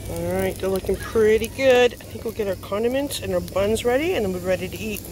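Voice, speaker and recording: A woman's voice: mid-range voice, fairly quick, low-quality recording. A middle-aged woman chatting casually as she cooks outdoors, recorded on a phone. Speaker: home vlogger and mother cooking outdoors. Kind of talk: casual chat while cooking. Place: outdoors at a picnic table on a beach. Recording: loud outdoor beach noise, sizzling pan. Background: sizzling frying, outdoor ambience.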